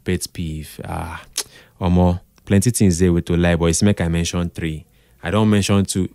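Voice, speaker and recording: A Nigerian man with a deep voice gives relaxed, conversational interview answers. He is expressive, drops into Pidgin and repeats phrases for emphasis. The sound is dry, with little room around it. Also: dry recording. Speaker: podcast guest, radio drama producer. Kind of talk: casual interview chat.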